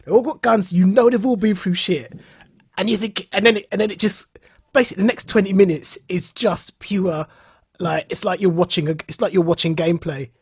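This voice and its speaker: A man talks as a podcast co-host in a dry, close sound. His voice has a husky grain. He reviews casually, like a storyteller among friends, repeating phrases to drive them home. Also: high-pitched, fairly quick, darkish tone, no echo. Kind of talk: casual chat recounting and reviewing a show.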